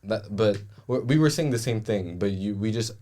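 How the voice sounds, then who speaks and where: A young man born in France talks in a very deep voice. He is reflective and hesitant in a casual podcast chat and fills his pauses with uhs. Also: dark tone. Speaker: podcast co-host. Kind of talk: casual conversation.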